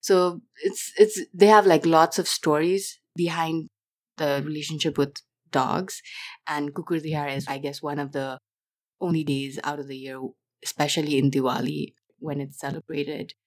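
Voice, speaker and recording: Woman from Nepal, young adult, low voice, chatty with many restarts. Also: fairly even intonation, moderate pace. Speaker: interview guest. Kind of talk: casual interview chat.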